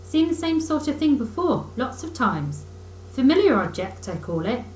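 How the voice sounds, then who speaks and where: A woman's voice: sits high, big pitch swings, moderate pace, a little mellow, pitched above the performer's own voice. Woman playing a plaintive, grumbling storybook mole. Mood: pettish, scornful. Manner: pettish, plaintive, complaining. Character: grumbling, literal-minded, exasperated male mole, an animal. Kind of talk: children's storybook character voice. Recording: roomy echo, faint background noise.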